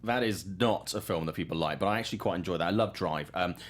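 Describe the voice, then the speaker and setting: A man's voice: low-pitched, fast talker. A man hosting a film news show in a casual, chatty and enthusiastic way.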